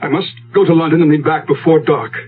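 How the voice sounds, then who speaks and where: Man acting lovesick doctor, earnest n melodramatic, highish lively voice, tinny old radio play. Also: fast talker, natural voice. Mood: urgent. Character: anguished, lovestruck gentleman doctor. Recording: tinny old radio recording, loud music.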